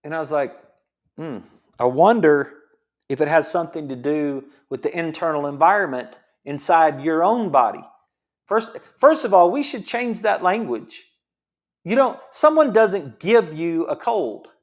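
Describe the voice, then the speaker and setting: A man's voice: fairly high pitch, lively intonation, a little slow. A middle-aged man hosting a health show as a chiropractor, talking casually and rambling with many restarts. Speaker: chiropractor host. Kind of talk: casual health talk monologue.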